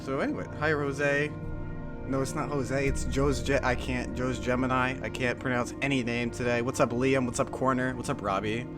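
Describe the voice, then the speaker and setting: A young man hosting a livestream interview, talking in a lively, rambling, self-deprecating way. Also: medium pitch, moderate pace. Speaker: host. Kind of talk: casual livestream chat. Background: faint music.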